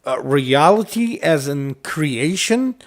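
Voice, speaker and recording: This man speaks English with an Arabic accent in a fairly high voice. He talks haltingly, full of uhs, likes and restarts, as he co-hosts a casual chat. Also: animated. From Iraq. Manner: halting, many fillers and restarts. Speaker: podcast co-host. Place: lives in the United States.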